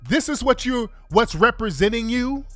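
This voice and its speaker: A man's voice: very high-pitched, expressive, moderate pace, sharp tone, no room sound, soft background music. A congested man ranting bluntly and profanely to his viewers in an exasperated commentary. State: congested from a cold. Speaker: online commentator.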